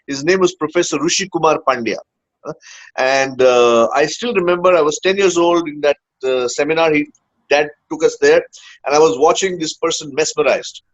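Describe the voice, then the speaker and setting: A man's voice: fairly high pitch, moderate pace. Man, rambling coach on dull audio. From India. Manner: rambling, many "uh" fillers and tag "huh?". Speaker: guest, leadership coach. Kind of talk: casual storytelling interview.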